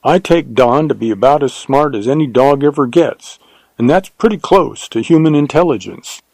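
A man acts out a giant, kindly frontier rancher from a storybook western. He speaks in a grave, measured, sonorous way, thinking each point through. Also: mid-range voice, lively intonation, creaky, darkish tone, no room sound, voice pushed up. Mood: thoughtful. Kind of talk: audiobook character dialogue.

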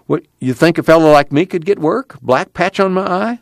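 A man voicing a bitter, self-pitying one-eyed junkyard worker with rough, plaintive country speech in an audiobook. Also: fairly high pitch, animated, moderate pace, no room sound, studio quality, voice pushed up. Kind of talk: acted audiobook dialogue.

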